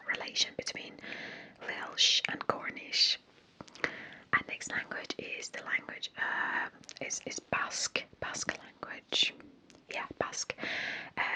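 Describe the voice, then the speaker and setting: An ASMR creator whispering slowly and softly, repeating words and hesitating over pronunciations. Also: poor audio. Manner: unsure of foreign pronunciations.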